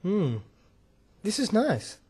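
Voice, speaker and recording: A woman's voice: mid-range voice, very animated, takes it slowly, dark tone, slight background noise. A woman playing a shy, lovesick teenage younger brother in a radio drama, with hesitant, reluctant short answers. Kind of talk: radio drama acting for English learners.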